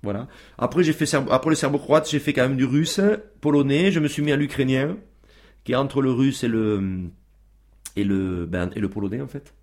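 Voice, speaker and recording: Man, radio guest, casual talk, halting, lots of fillers. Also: mid-range voice. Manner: hesitant, many fillers and restarts.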